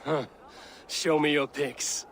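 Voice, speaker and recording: A blunt, honor-bound Viking warrior, with a bright, fairly high voice. It is a video game cutscene with faint noise behind. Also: male voice, fairly even intonation, slow. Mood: stern. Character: stern male Viking warrior, proud and blunt.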